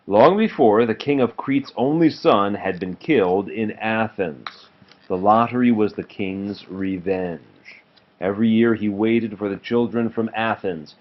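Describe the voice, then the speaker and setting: Low-voiced man reading a storybook aloud. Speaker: parent reading a storybook to a child. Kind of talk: storybook read-aloud narration. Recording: muffled home recording with clear noise.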